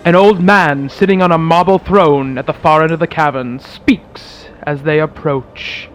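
A man's voice: a little high, fairly even intonation, unhurried, fairly bright tone, faint music behind. A man narrating a dramatic old-time radio serial in a theatrical announcer's manner. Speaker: podcast host and narrator.

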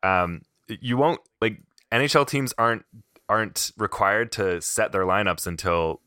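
A man's voice: on the low side, unhurried, sharp tone, smooth, no room sound, studio quality. A man co-hosting a casual fantasy hockey podcast, chatty and joking, with thoughts that trail off. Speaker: podcast co-host.

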